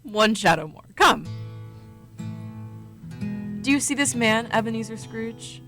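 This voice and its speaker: A woman playing a solemn, unyielding ghostly spirit in a radio drama, measured, stern and formal. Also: on the high side, animated, bright, forceful tone, faint music behind, full character voice. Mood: solemn, relentless.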